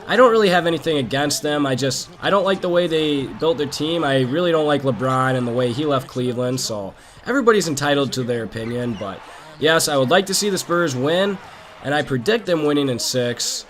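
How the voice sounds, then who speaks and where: A hoarse man from Minnesota, hosting a gaming channel and chatting casually about basketball over gameplay, opinionated and talking straight to his viewers. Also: on the high side, lively intonation, brisk, fairly bright tone, a little hiss or hum. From Minnesota, USA.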